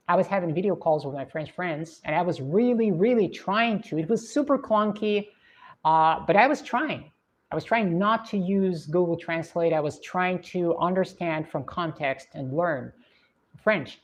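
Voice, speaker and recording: A woman from Russia with a Russian accent, hosting a livestream and chatting in a reflective, unhurried way with plenty of fillers. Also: on the low side. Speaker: livestream host. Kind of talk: casual livestream chat reading viewer comments. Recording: dull lo-fi stream audio.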